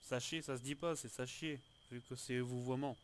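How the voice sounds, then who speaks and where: Man acting cheeky civilian, mid-pitched, argumentative, pedantic, game roleplay, heavy noise. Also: steady tone, moderate pace, close to an everyday voice. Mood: protesting. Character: cheeky, protesting male civilian arguing with police. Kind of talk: improvised online roleplay in a video game. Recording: very noisy game voice chat.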